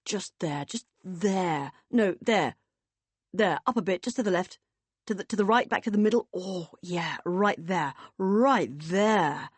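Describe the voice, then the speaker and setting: A woman's voice: low-pitched, lively intonation, measured pace, few pauses, dark tone, breathy edge, no echo, exaggerated voice. A woman voicing a laid-back, blasé man in an audiobook, terse and unbothered. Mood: pleasured.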